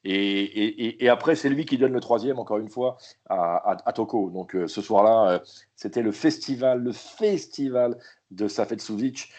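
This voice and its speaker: A man guesting as a football commentator in a casual chat, retelling moments with animated, repetitive enthusiasm. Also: on the low side, normal speed. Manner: animated, repeats words as he thinks. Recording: dull lo-fi remote line.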